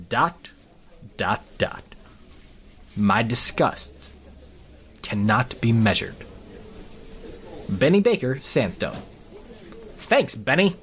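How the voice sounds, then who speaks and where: Comedy news anchor, man acting: officious, sardonic, high voice, very animated intonation. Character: male small-town TV news anchor, dryly officious. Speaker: local news anchor reading viewer letters. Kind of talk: parody newscast, scripted comedy.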